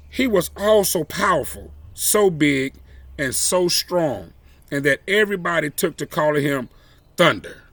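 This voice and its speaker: A man reading a children's story aloud expressively, with deliberate, emphatic phrasing and dramatic pauses. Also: on the high side, fairly bright tone, no echo. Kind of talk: expressive read-aloud storytelling with brief asides.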